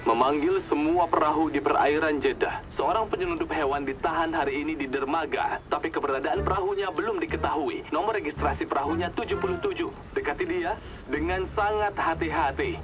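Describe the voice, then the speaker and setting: An actor voicing a stern, official coast guard dispatcher making a radio announcement in a cartoon. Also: male voice, on the high side, fairly quick, over music, close to an everyday voice, poor audio. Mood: urgent, official. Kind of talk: radio announcement in animated dub.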